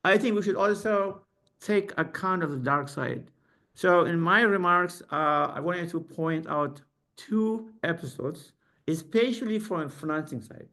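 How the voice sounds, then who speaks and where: A man giving academic panel remarks on a video call, halting with many fillers and restarts. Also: a little high, bright, forceful tone.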